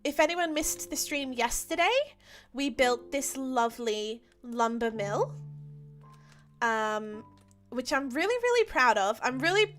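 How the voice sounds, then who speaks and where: A woman's voice: on the high side, a bit bright. A young woman chatting casually while she streams a game, with lots of ums and easy laughs. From Australia. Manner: chatty, many ums and restarts, laughs easily. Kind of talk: casual live-stream chat while playing.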